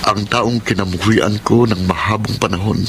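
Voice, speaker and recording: A man's voice: lowish voice, steady tone, normal speed, background noise, close to an everyday voice. A man acting a repentant, embittered grown son in a Filipino radio drama, earnest and dramatic. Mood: pained regret. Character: repentant grown son Floro, bitter over mother's lie.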